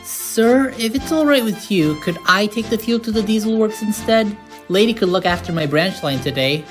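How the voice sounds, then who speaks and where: A woman's voice: medium pitch, animated, moderate pace, lightly acted. A woman voicing an earnest, cheerful young male tank engine in a comic dub. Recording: loud music behind.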